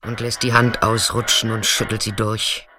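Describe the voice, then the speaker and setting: A man performing scripted literary narration in a deliberate, dramatic way, sometimes dropping to a hushed near-whisper. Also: lowish voice, little pitch movement.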